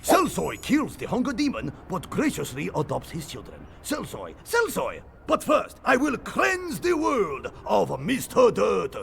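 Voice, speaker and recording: An actor playing a theatrical snack mascot creature in a cartoon game. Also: male voice, high-pitched, huge pitch swings, measured pace, vocal fry, hardly any pauses, slight background noise, heavily acted. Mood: grandiose. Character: grandiose snack-brand mascot monster.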